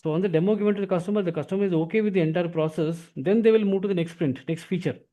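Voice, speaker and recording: A man with an Indian accent explaining as a software trainer in an online demo class, with repetitive phrasing. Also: sits high, rapid-fire. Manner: repetitive phrasing, often adds "actually".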